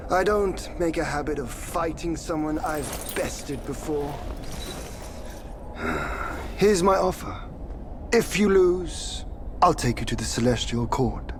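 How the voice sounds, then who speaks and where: Smug three-eyed warrior god. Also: male voice, on the high side. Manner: smug, taunting, drawn-out. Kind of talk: video game cutscene acting. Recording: game cutscene with ambient noise.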